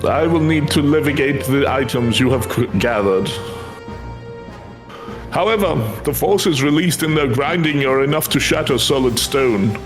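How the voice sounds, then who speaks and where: Man acting as fantasy game craftsman, mid-pitched male voice, formal archaic phrasing, devout, grateful, earnest, music behind. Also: lightly acted. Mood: solemn, encouraging. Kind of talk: voiced reading of fantasy game quest dialogue.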